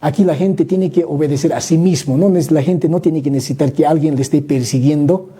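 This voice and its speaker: Man, very dark tone, husky, conversational explaining, frequent restarts. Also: a little high, fairly quick. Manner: thinking aloud, many restarts and "¿no?" tags. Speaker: guest speaker. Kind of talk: conversational explanation, mixing Spanish and Aymara.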